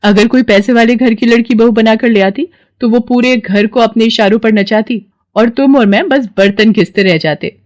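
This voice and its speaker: A woman's voice: on the high side, moderate pace, darkish tone, pitched above the performer's own voice, lightly acted. A woman doing a breezy teenage daughter. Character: young college-going daughter, breezy and self-centred.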